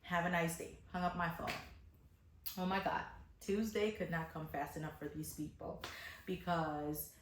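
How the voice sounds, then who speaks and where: A woman telling a casual, animated story to viewers, retelling events dramatically as a hairstylist. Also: deep voice, unhurried, long pauses, echoey room, rough recording. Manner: dramatic retelling, acting out dialogue.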